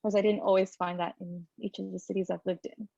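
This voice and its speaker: A woman from the Philippines, speaking as a conference panelist in a thoughtful, steady way with some fillers. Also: medium pitch, quick pace, creaky, no echo. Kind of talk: reflective personal talk. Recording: dry muffled lo-fi audio.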